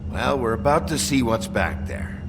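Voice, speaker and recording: An actor playing a gruff, menacing sheriff in a video game, curt and impatient, with a gravelly voice. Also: male voice, mid-range voice, big pitch swings, moderate pace, noisy background. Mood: stern, irritated. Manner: curt, threatening. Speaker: game character. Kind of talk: dramatic video game acting, hardboiled.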